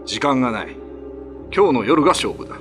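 A man's voice: mid-range voice, lively intonation, brisk, soft background music, lightly acted. Man playing a commanding, grave old-time Ryukyuan lord. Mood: urgent, commanding. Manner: dignified, commanding, old-fashioned speech. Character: renowned Ryukyuan lord, grave veteran demon slayer. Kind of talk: Japanese radio drama acting.

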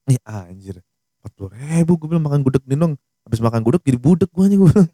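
A man's voice: medium pitch, lively intonation, mellow, no echo, clean studio sound. A young man chatting casually and joking with friends in slangy talk. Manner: laid-back, slangy, quick to laugh. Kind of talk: casual chat.

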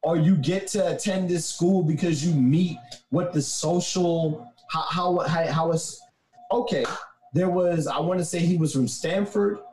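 A man in a casual debate chat, arguing his points slowly and emphatically. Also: fairly high pitch, a little mellow, a little hiss or hum. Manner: argumentative, slow and emphatic when making a point. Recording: roomy echo.

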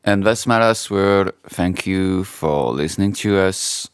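A man from France with a strong French accent, answering podcast questions in halting, joking English. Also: low voice, smooth, no echo, clean studio sound. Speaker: guest musician.

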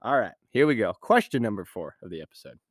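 A man's voice: on the low side, big pitch swings, quick pace, no echo. A man hosting his own podcast in a studio, answering questions in a casual, rambling way, his voice scratchy from a sinus infection. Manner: casual, rambling, with frequent fillers and restarts. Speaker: podcast host. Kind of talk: solo podcast Q&A.

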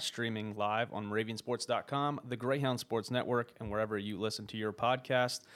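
A man's voice: mid-range voice, a bit bright. A man hosting a university sports podcast, reading a scripted intro and then asking conversational interview questions. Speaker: podcast host.

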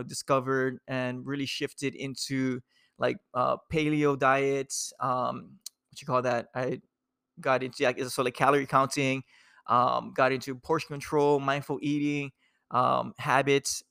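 Young guy from hawaii, chill nutrition coach rambling w lots of ums and dudes, medium pitch, dry sound. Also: sharp tone, clear, resonant tone, no room sound. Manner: laid-back, rambling, full of fillers and "dude". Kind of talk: casual talk to viewers.

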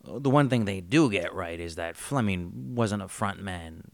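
A man's voice: low-pitched, expressive, a little slow, vocal fry, dry recording. A man co-hosting a casual film discussion with a wry, blunt, playful way of talking. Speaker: podcast co-host.

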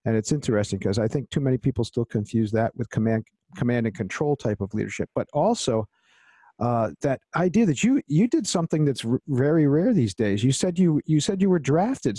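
A man hosts an interview in a friendly, eager way, often tripping over restarts. His voice is mellow and warm, recorded close and dry. He sounds keen to draw out his guest. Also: medium pitch, slightly rough, dry recording. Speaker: podcast host. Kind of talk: interview hosting.

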